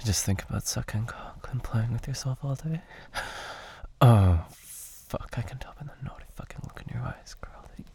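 A man doing an intimate audio roleplay, talking in soft whispers broken by low moans and sighs, close to the microphone. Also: normal speed, gravelly, breathy edge.

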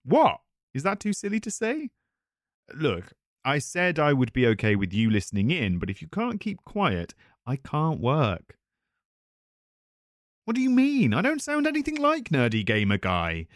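A man's voice: fairly high pitch, huge pitch swings, unhurried, dry recording, studio quality. A man playing a chatty, nervous voice actor, talking casually to a visitor in a self-conscious, hesitant, easily flustered way. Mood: flustered, defensive. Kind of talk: casual conversational audio roleplay addressed to listener.

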